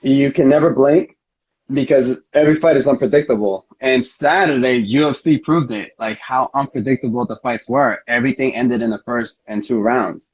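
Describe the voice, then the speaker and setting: A man's voice: medium pitch, moderate pace, a little mellow, breathy edge. A man speaking casually as a fighter being interviewed, telling things in an earnest, rambling way. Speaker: MMA fighter, interview guest. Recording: dull lo-fi audio.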